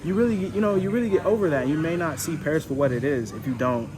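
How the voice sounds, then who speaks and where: A man's voice: a little high, fast, background noise. A man hosting a casual interview over a meal, rambling with lots of "you know" and "like". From United States. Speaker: host.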